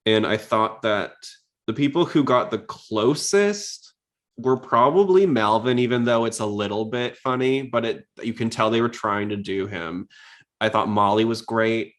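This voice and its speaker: A man's voice: lowish voice, a little slow, a bit bright. A man hosting a podcast, chatting casually and commenting on a show in a rambling, self-interrupting way. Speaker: podcast host. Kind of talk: casual chat, episode commentary.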